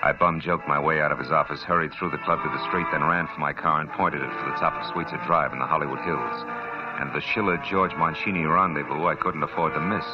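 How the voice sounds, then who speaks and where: A deep hard-boiled private eye. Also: male voice, steady tone, fast. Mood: wry. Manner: wisecracking, dry hard-boiled narration. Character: hard-boiled male private detective narrator. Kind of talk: 1940s radio acting. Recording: dull old radio recording with music.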